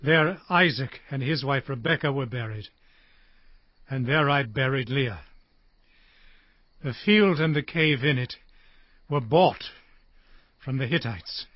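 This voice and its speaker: An actor playing a dying old patriarch solemnly blessing his sons in a dramatized audio Bible, in a declamatory, deliberate manner. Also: mid-range voice, no room sound. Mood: grave, weary. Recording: dull lo-fi audio with music bed. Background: background music.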